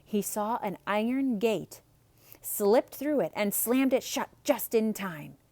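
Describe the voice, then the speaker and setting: A woman's voice: medium pitch, animated, slow, warm tone, no echo. A woman storyteller narrating a children's tale as a theatrical read-aloud.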